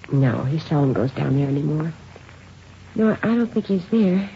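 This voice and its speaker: Low-voiced worried mother, old radio. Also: expressive, normal speed, muffled recording. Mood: growing worry. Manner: hesitant, polite, restrained anxiety. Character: worried early-forties mother, outwardly calm, polite housewife. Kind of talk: 1950s radio drama acting. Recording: hissy, band-limited old radio recording.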